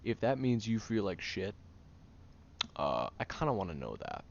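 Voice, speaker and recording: A man voicing a gruff, tired young tsundere man who secretly cares, halting and grumpy, in an intimate audio roleplay. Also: fairly low pitch, big pitch swings. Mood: earnest, scolding. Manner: halting, sighing, grumpy and flustered. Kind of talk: intimate audio roleplay, acted monologue to the listener. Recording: dull lo-fi, clear background noise.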